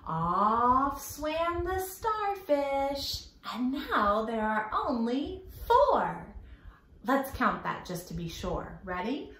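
A woman in her thirties hosting a storytime for young children, encouraging and expressive, speaking to a camera a short distance away indoors. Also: high-pitched, very slow. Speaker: children's library storytime host. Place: small indoor room, echoey. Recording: echoey room.

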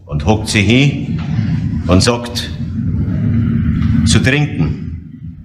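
An actor playing a gruff, offhand bar keeper who talks colloquially in a crime drama. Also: male voice, fairly low pitch, sing-song, extremely slow, full character voice. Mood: offhand, unconcerned. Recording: roomy, noisy drama soundtrack.

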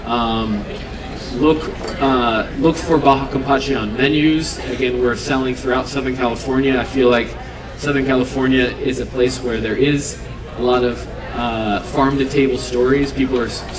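A man in his thirties speaks earnestly to an audience at an outdoor stage, pausing with fillers and starting his sentences over. He is picked up from a distance, so his voice sounds roomy. A crowd murmurs clearly behind him. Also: mid-range voice, steady tone. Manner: earnest, unscripted, with frequent fillers and restarts. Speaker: seafood company representative. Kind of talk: live demo talk to an audience. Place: outdoor event stage under trees.

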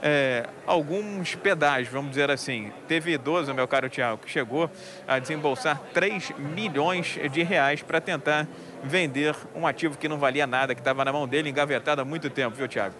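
Male field reporter, slightly high voice, chatty live hit outdoors, crowd noise behind. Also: moderate pace. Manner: chatty, many fillers, addresses anchor by name. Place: outdoors at a crowded public event. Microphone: handheld mic, wearing a face mask. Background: crowd murmur.

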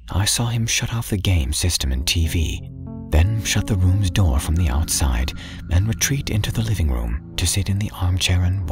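Male audiobook narrator, deep register, hushed and whispery, light music bed. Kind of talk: first-person horror story narration. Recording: faint background music.

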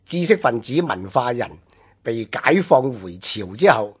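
A man's voice: mid-range voice, sing-song, measured pace, breathy edge. A man with a Hong Kong Cantonese accent explaining things conversationally, as a commentator on a radio history programme.

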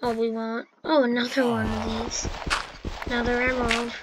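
A woman's voice: a little high, flat delivery, normal speed, heavy background noise. A woman giving casual, chatty commentary over her own gameplay, with fillers and restarts. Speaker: gameplay narrator.

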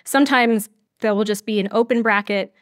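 A woman's voice: medium pitch, a little fast, a bit bright, no room sound. Woman explaining casually, very dry sound. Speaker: audio drama writer, interview guest.

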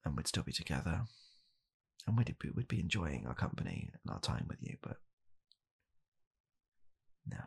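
Young british guy doing deadpan asmr as a bitter tired dad, super low husky voice, halting and quiet. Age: young adult. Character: bitter, weary father coldly blaming his young child. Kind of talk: deadpan comedic ASMR roleplay monologue. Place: small home room, indoors.

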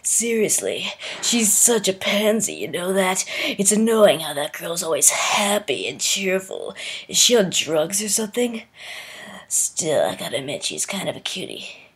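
A woman voicing a tall, cocky male cartoon werewolf in a husky, whispery, smug murmur. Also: mid-range voice, big pitch swings, unhurried, pitched below the performer's own voice, exaggerated voice. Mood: grudging.

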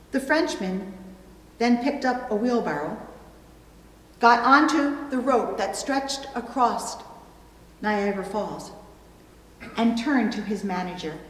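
A middle-aged woman pastor preaching a sermon, phrasing deliberately and pausing for emphasis. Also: on the high side, expressive. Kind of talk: sermon with illustrative stories. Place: church pulpit indoors, recorded from a distance. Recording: echoey hall, long reverb.

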